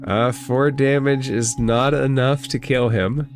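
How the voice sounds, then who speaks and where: A man's voice: medium pitch, fairly even intonation, slow pace. Man running a tabletop game over music. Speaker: game master. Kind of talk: narrating a tabletop fantasy game.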